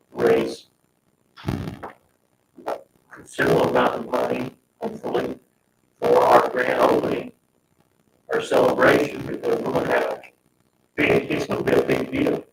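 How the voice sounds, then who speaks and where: Male board member, extremely low monotone, halting delivery, picked up from afar. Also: creaky. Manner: halting, repeats phrases as he explains. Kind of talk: informal public meeting discussion. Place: indoor town board meeting room. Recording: echoey, noisy meeting room, distant mic.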